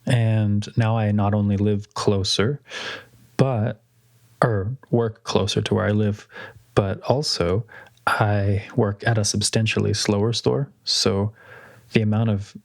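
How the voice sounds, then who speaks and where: A young man co-hosting a podcast in casual chat, explaining things in a steady, measured way. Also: on the low side, gravelly, no room sound, studio quality. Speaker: podcast co-host.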